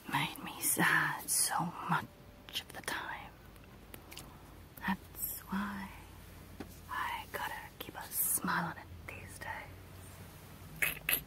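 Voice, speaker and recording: A woman playing a sinister, scolding evil clown dentist in a breathy ASMR roleplay whisper with drawn-out pauses. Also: on the low side, pushed and bright. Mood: bitter, brooding.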